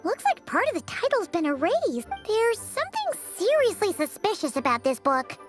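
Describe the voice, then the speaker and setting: A tiny fairy sidekick with an extremely high, squeaky, childlike voice. The delivery is chatty and excitable, bouncing all over in pitch over playful game music. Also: female voice, moderate pace, exaggerated voice. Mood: curious, suspicious. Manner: speaks in third person, expressive. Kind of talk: video game character dialogue.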